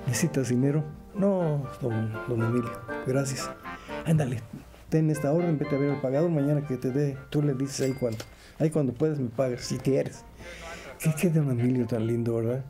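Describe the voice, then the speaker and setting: A man's voice: medium pitch, moderate pace, music in the background. An older man with a Mexican accent, a radio announcer, telling anecdotes theatrically and acting out remembered dialogue. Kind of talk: anecdotal interview reminiscence.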